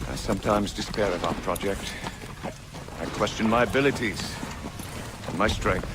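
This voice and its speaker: A man playing a pompous, menacing tyrant general, oratorical and deliberate, in a game drama. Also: fairly low pitch, close to an everyday voice. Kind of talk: dramatic game cutscene acting. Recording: loud music over the voice.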